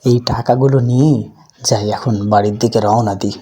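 A man's voice: medium pitch, fairly even intonation, fairly quick, warm tone, lightly acted. A man voicing an earnest, hopeful poor street vendor who thinks aloud in a folk tale cartoon. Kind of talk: cartoon voice acting.

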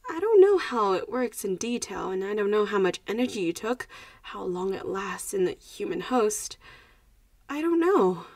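A woman's voice: fairly high pitch, darkish tone, no echo, studio quality, recorded from a distance. A woman voicing an anxious, protective popular vampire schoolgirl in an audio roleplay, hesitant and reassuring as she speaks to the listener. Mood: worried, uncertain.

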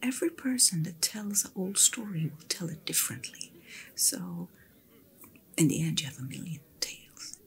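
A woman voicing a kind, attentive fantasy innkeeper in an ASMR roleplay, speaking in a soft, soothing whisper. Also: lowish voice, sing-song, moderate pace, vocal fry, low-quality recording. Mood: gentle, soothing.

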